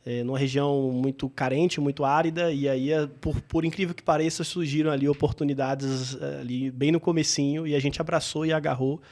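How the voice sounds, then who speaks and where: A man, a guest entrepreneur telling his story thoughtfully in an interview, pausing and restarting as he thinks. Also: on the high side, fairly even intonation, darkish tone. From Bahia, Brazil. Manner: reflective, with frequent hesitations and restarts.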